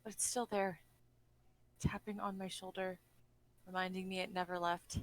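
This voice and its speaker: A woman's voice: mid-range voice, flat delivery, normal speed, a little hiss or hum, pitched below the performer's own voice, lightly acted. A woman playing a bitter, despairing, self-loathing young woman kidnapper in a dramatic script reading, volatile and brooding. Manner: broken muttering that snaps into shouting.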